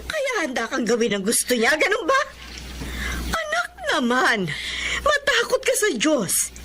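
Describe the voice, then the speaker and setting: A woman's voice: sits high, huge pitch swings, unhurried, background noise, heavily acted. A woman playing a protective, moralizing middle-aged mother in an audio drama, admonishing and emphatic, with a Filipino accent. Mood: stern, alarmed.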